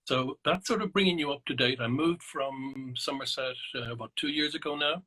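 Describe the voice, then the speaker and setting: A middle-aged Irish man talks slowly and reflectively over a video call, pausing often with ums and restarting his sentences. His voice is bright and forward, with a husky, slightly breathy grain. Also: medium pitch, low-quality recording. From Ireland. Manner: thoughtful, hesitant, many ums and restarts. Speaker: psychotherapist and naturalist guest. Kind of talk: reflective interview answers.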